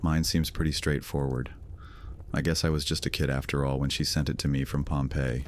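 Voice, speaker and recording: A volatile young vampire leader, played by a man, with an extremely deep, low voice. He broods, then turns cold and threatening. There is a faint hiss behind him. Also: level tone, a little fast. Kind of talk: audio drama acting.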